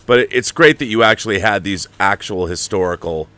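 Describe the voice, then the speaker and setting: Deep, bright-voiced man chatting casually. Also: fairly quick, smooth, no echo. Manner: rambling, animated explainer, slangy. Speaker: show host and audio drama director. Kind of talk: casual livestream chat.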